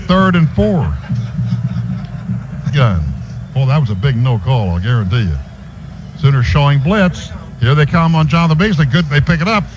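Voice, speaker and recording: A man's voice: a little high, big pitch swings, moderate pace, pauses often. An American man doing live play-by-play commentary of a football game for radio. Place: stadium radio booth. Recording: noisy stadium radio broadcast recording. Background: clear background noise.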